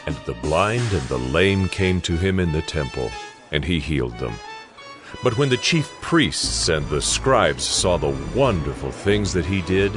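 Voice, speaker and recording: A man's voice: low voice, very animated, a little slow, over music. A man reading scripted narration for a dramatized audiobook.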